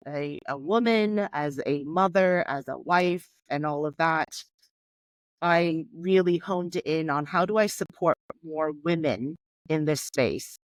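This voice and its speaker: A woman's voice: deep voice, dry recording. A woman in her forties, originally from Hong Kong, speaking as a podcast guest and telling her life story in a candid, reflective way. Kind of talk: conversational podcast interview.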